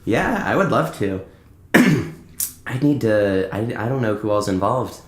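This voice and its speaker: A young American man speaks as a musician guest in a casual interview. His voice sits a bit low and he talks informally, feeling his way through sentences. The sound is echoey and roomy. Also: animated, unhurried. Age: young adult.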